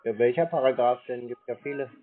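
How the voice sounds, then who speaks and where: A man on a phone call answering in a dry, laconic, deadpan way. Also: mid-range voice, fast talker. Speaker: prank call recipient. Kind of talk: phone conversation. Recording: tinny phone-like sound.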